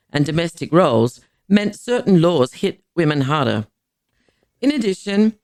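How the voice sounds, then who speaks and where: Low-creak woman narrating history. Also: darkish tone, no echo, clean studio sound. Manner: measured, formal, deliberate phrasing. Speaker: podcast narrator. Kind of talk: scripted historical documentary narration.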